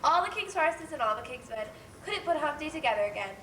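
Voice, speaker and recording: An earnest, high-pitched female news reporter from a storybook world, played by a stage actress. She delivers everything like a mock bulletin. She is picked up from a distance in an echoey space with faint noise. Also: animated, a little fast, fairly bright tone. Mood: reciting.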